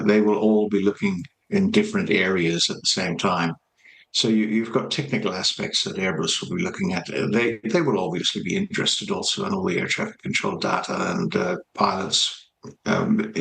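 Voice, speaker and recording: Low, creaky-voiced man in a roomy space. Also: fairly bright tone, a little hiss or hum. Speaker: aviation expert being interviewed. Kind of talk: explanatory news interview commentary.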